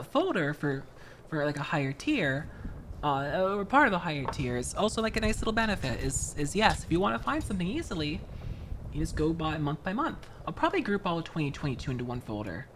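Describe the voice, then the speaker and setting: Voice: mid-range voice, a bit bright, a little hiss or hum. A streamer chatting casually with viewers while building a model kit, rambling from one thought to the next and greeting people by name. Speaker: VTuber streamer.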